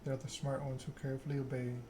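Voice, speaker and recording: Man playing suave male vampire enforcer for a story game: calm threat, bored monotone, noisy ambient backdrop. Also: mid-range voice, fast, natural voice. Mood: calm menace. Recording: clear background ambience noise.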